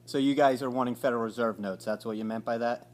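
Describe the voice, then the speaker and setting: Slow, hesitant man on a phone call. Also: medium pitch, fairly even intonation, a little mellow, soft background music. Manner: slow, hesitant, mock-innocent questioning. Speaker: caller. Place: home living room by a window.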